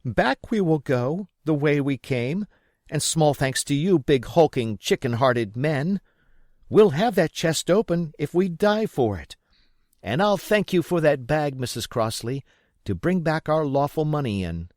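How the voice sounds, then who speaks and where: A man's voice: fairly high pitch, expressive, measured pace, darkish tone, no room sound, studio quality, voice pushed up. A man voicing a bold, indignant mother in an audiobook, declaiming with defiant emphasis. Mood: defiant, scornful. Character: bold, indignant mother scolding cowardly villagers.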